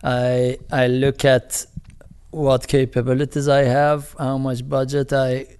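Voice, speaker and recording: A man with an Iranian accent, a film director speaking as an interview guest in a halting way, with many restarts, fillers and chuckles. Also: mid-range voice, monotone, a little mellow, smooth. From Iran. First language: Farsi. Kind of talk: casual interview talk.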